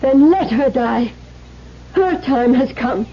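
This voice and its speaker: An actress playing a possessive, ruthless ghostly mistress, commanding and intense in a 1970s radio drama. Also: female voice, sits high, expressive, slow pace, breathy edge, exaggerated voice. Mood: cold, demanding. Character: possessive, ruthless spectral mistress demanding to be bride. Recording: dull, noisy vintage radio recording.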